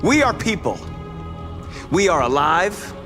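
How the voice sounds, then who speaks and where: A man's voice: sits high, big pitch swings, takes it slowly, exaggerated voice. A man playing a defiant android rebel leader giving an oratorical speech in a video game. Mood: rousing defiance. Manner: oratorical, building from hushed to forceful. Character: defiant male android rebel leader rallying his people. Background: dramatic music.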